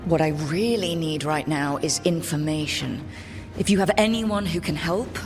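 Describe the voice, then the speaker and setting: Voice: medium pitch, measured pace, over music, lightly acted. An actor playing a frank, burdened team leader in a video game, speaking plainly and informally. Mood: serious. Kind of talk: video game cutscene acting.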